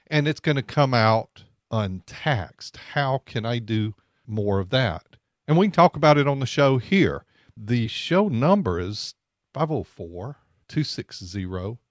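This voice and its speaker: A man hosts live radio from a studio mic, and the sound is close and dry. His pitch is middling, and his delivery is loose and conversational, with repeated words and easy laughter. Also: dry recording. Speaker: radio host. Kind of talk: live financial talk radio. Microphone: studio microphone.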